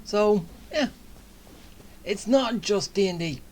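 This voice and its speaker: A woman giving casual, low-key commentary while playing a video game, laid-back and offhand. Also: expressive, takes it slowly, a little mellow, slight background noise.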